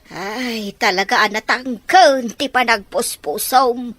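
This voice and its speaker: A woman's voice: on the high side, slow, fairly bright tone, no echo. A woman acting a blunt, reproachful, nagging older female relative in an Ilocano radio drama, with an Ilocano accent. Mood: scolding.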